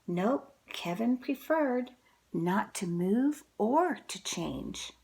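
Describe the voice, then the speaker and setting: Female storybook reader, teacher type: very unhurried, lively swooping pitch, touches of creak, dramatic delivery. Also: rough recording. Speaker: teacher reading a picture book to children. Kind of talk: expressive storybook read-aloud.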